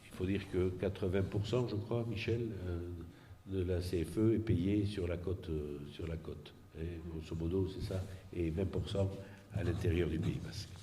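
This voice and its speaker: A man giving an unscripted explanatory political presentation, halting and often repeating words as he searches for the next one. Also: sits low, steady tone, dark tone, slight background noise.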